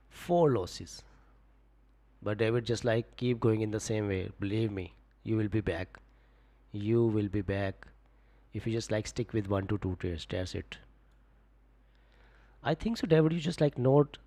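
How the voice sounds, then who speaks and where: Man, mellow dark tone, low voice, live stream coaching, lots of fillers. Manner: relaxed, repetitive fillers, coaching viewers. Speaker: forex trading streamer. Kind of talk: live stream chat reading viewer comments.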